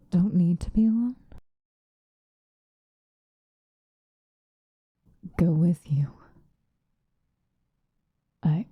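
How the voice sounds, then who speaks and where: A woman playing an obsessive, possessive lovesick woman in an intimate, whispered ASMR roleplay, with a breathy voice. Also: on the low side, dry recording, phone-quality sound. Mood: hurt, searching. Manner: slow, intimate, pleading.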